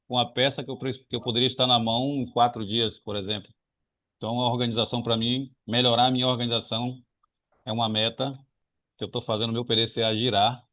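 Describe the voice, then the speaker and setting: A man's voice: medium pitch, pushed and bright. A man from Bahia, a student earnestly explaining his work example step by step on a video call. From Bahia, Brazil. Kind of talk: sharing an example in class. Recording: muffled call audio.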